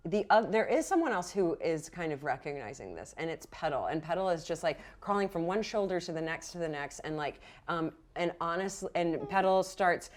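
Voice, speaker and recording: A woman game master narrating a tabletop game in an informal, halting, conversational way. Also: on the low side, lively intonation.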